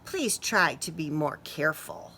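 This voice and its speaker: A woman voicing a kindly, patient hen schoolteacher in a storybook, gently chiding. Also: low-pitched, lively intonation, a little slow, slightly rough, pitched below the performer's own voice, full character voice.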